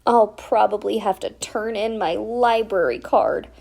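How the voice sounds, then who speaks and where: A woman's voice: medium pitch, measured pace, vocal fry, a little mellow. A woman voicing an anxious, tearful young girl from a storybook, whiny and despairing. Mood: whiny sobbing.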